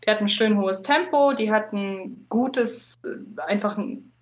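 Female podcast guest, average pitch, informal conversational delivery. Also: unhurried. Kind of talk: casual podcast chat.

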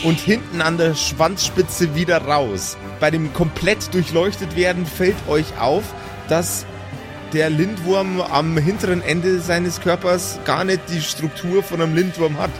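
A man's voice: a little high, steady tone, unhurried. A man acting as game master, narrating an improvised tabletop role-play. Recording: music behind the voice. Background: background music.

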